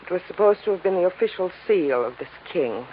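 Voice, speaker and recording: A woman's voice: on the low side, lively intonation, fairly quick, natural voice. An actress playing a refined, anxious young woman, polite and guarded, in a 1950s radio drama. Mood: contrite. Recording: tinny, noisy old radio recording.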